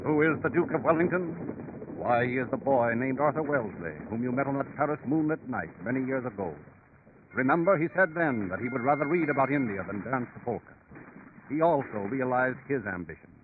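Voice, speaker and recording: A man's voice: medium pitch, animated, brisk, noisy background, lightly acted. A man performing as a portentous, dramatic storyteller, narrating in scripted 1930s radio style. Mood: dramatic, suspenseful. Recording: tinny 1930s radio recording.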